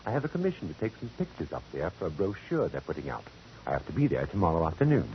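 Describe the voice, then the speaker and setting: A noisy old radio recording has a low-voiced, theatrical dandy. He talks with grand, affected flourishes, arch and scheming, his intonation sweeping widely. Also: sing-song, fast talker. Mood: grand, coaxing. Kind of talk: 1940s radio acting. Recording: muffled, noisy 1940s radio broadcast.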